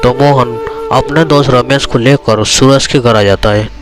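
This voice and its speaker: A man's voice: mid-range voice, fairly even intonation, moderate pace. A man narrating a cartoon tale as a storyteller. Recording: music behind the voice.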